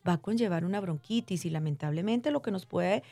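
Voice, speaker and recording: A woman's voice: fairly low pitch, animated, brisk, gravelly, dark tone, no echo. Woman doctor chatting thru health stuff for regular folks, checks in a lot, close dry sound. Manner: didactic, uses diminutives and check-in questions. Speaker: doctor interviewed as guest expert. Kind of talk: conversational medical explanation for lay listeners.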